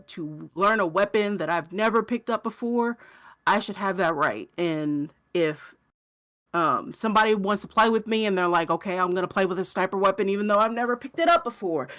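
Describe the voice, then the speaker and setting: A podcast co-host chatting casually about gaming, with informal, somewhat halting phrasing. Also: medium pitch, a bit bright.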